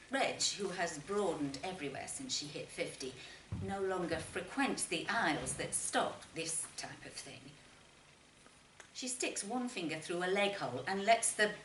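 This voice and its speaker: A woman reading literary fiction aloud as an expressive narrator, with deliberate phrasing and dramatic pauses. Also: medium pitch. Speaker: short story reader. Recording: roomy, distant mic, faint noise.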